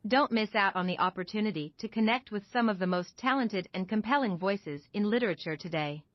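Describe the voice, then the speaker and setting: A woman's voice: mid-range voice, a little fast, dry recording. Woman announcer, scripted promo; dry, muffled, upbeat.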